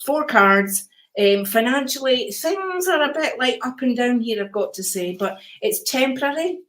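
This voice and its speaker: An older Scottish woman hosts a live card-reading stream, chatting easily with her viewers. Her voice is calm and soothing, and she keeps slipping in endearments like darling and sweetheart. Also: fairly high pitch, normal speed.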